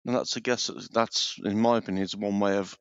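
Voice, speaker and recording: A British man in casual chat, earnest and opinionated with a halting delivery, speaking with a mild sore throat. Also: on the low side, fairly even intonation, clean tone, dry recording, lo-fi sound.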